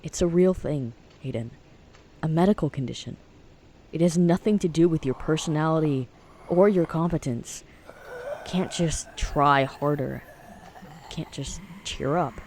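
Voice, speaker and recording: A man's voice: fairly high pitch, measured pace, a little mellow, a little hiss or hum. A man playing a friendly, earnest teenage boy in an audio drama. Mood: gentle, sincere.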